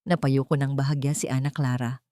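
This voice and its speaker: Low-voiced Filipino woman narrating drama. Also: flat delivery, brisk, dark tone, dry recording, studio quality. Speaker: audiobook narrator. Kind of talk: dramatic scripted narration of a love story.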